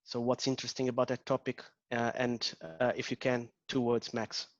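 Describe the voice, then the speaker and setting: A man's voice: medium pitch, completely flat delivery, normal speed, no echo, dull audio. A man with a Portuguese accent, an agile coach leading an interactive online workshop, hesitant with many ums and restarts. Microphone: video call.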